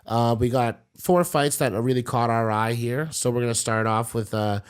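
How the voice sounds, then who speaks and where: A man's voice: medium pitch, fairly even intonation, normal speed, clean tone. A man hosting a podcast and discussing sports in a casual, conversational way. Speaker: podcast host.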